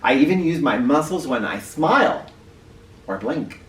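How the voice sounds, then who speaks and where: A man hosting an educational read-aloud for children, speaking directly to them with clear emphasis and questions. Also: fairly high pitch, animated, measured pace. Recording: roomy, distant mic with faint noise.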